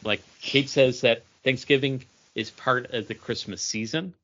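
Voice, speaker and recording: Forceful, bright-voiced American man. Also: mid-range voice, unhurried. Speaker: podcast co-host. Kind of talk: casual chat. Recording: dull lo-fi voice recording.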